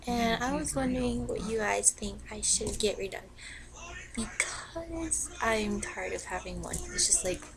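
A young woman in her teens rambling in a hyper, filler-heavy way in a casual bedroom webcam rant, her voice low and rough from the cold. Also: a little slow. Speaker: vlogger. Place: bedroom, indoors. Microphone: built-in webcam mic. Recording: noisy webcam recording with TV behind. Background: TV playing in the background.

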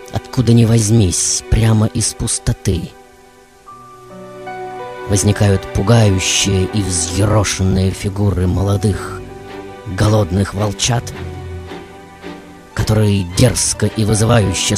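A man playing a passionate aging tango composer in a poetic, theatrical radio monologue with dramatic pauses. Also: lowish voice. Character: passionate aging male tango composer looking back on his life. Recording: loud music behind the voice.

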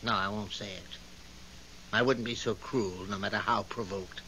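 An actor playing a weary, godlike overseer of the stars in a 1970s radio drama, scolding and long-suffering in a theatrical way. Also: male voice, on the low side, steady tone, normal speed, bright, forceful tone, poor audio. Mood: self-pitying. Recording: hissy 1970s radio broadcast recording.

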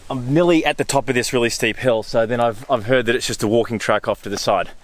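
An Australian man in his thirties chatting casually and excitedly to camera outdoors, in exclamatory, slangy speech. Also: medium pitch, fast talker. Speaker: vlogger. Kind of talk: casual vlog chat to camera. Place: outdoors on a mountain trail and car park. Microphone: handheld camera at arm's length.

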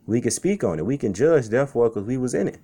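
A man's voice: medium pitch, animated, fast talker, mellow, slightly rough. Casual-talking man preaching scripture. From Chicago. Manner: conversational, repeats "man" and "okay". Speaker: street preacher. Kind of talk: informal sermon with scripture reading.

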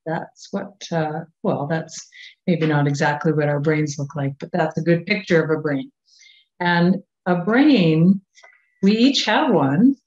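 Canadian woman in her sixties, low voice, slow and gentle children's-time talk, dull muffled webcam sound. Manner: gentle, unhurried, coaxing questions to children. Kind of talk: children's story at a church service. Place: small office at home. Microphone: webcam on a video call.

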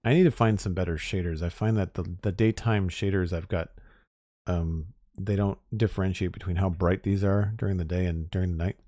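Low-voiced, slightly hoarse man streamer. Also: a little fast, dry recording, clean studio sound. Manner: relaxed, chatty, talks to chat. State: losing his voice. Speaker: Minecraft streamer. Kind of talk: casual live-stream chat while building.

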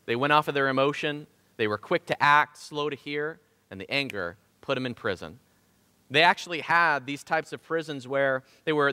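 A man in his thirties with a Southern Ohio accent preaches from a church stage, his voice bright and forceful. He leans on rhetorical questions, and his pitch rises and falls widely. The sound carries a long hall reverb. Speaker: pastor. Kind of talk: sermon. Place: church stage in front of a drum kit.

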